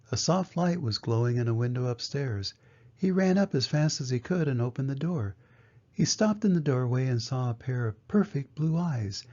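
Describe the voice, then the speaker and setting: An older man, a grandfather, reads a children's storybook aloud in a measured, gentle way. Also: mid-range voice. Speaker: grandfather reading a picture book aloud. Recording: dull, muffled lo-fi sound.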